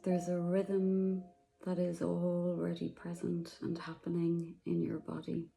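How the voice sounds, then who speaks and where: A woman guiding a meditation, speaking softly and slowly with long pauses. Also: lowish voice, monotone, vocal fry, soft background music. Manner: slow, soft, soothing, with long pauses. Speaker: meditation guide. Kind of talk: guided meditation.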